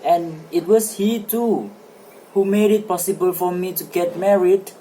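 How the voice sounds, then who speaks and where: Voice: mid-range voice, measured pace, mellow, slight background noise, pitched above the performer's own voice, close to an everyday voice, poor audio. An amateur reader playing a talkative, self-satisfied married man in a stage play, with eager, formal phrasing. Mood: eager, assuring. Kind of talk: amateur dramatic play reading.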